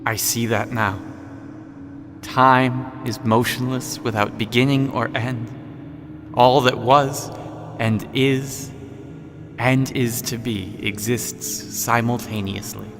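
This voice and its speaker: An actor playing an awed male seeker of cosmic knowledge in a radio play, earnest and deliberate, with long cavernous reverb. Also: medium pitch, fairly even intonation, music in the background. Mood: solemn awe.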